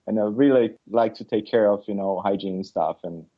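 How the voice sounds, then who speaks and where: A middle-aged man from Brazil with a Brazilian accent, a podcast guest talking casually, halting with many fillers and restarts. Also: fairly low pitch, dull audio. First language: Portuguese. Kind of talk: casual interview chat.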